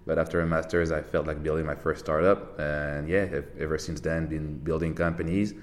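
A Frenchman in his thirties speaks in a very low, deep register. He talks loosely and easily, padding his thoughts with fillers and restarting sentences as they come to him. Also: brisk, slight background noise. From France, Paris. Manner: relaxed, rambling with many fillers and restarts, laughs easily. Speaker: podcast guest, tech entrepreneur. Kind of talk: casual interview chat.

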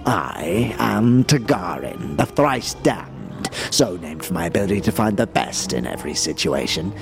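A very deep, gravelly fiend, smug and grandiose. His delivery swoops theatrically through florid, formal phrases in audio drama style, with faint music underneath. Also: sing-song, a little slow, heavily acted. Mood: grandly courteous.

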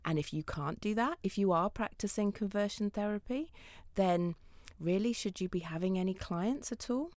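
A woman's voice: mid-range voice, moderate pace, a little mellow, dry recording, studio quality. Earnest woman panellist. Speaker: radio panellist, trainer. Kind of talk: radio panel discussion.

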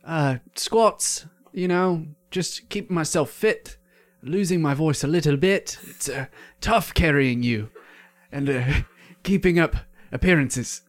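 A man's voice: on the high side, slow. A man playing a tipsy, loyal squire who speaks in flowery, old-fashioned phrases with hesitant fillers. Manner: flowery mock-olde speech with hesitant fillers. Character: tipsy, loyal young male squire with archaic speech. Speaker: improv comedian.

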